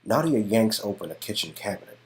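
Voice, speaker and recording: A man's voice: fairly low pitch, very animated, a little fast. A man narrating scenes from an interactive story game as scripted, descriptive storytelling. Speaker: narrator of a game-dialogue performance.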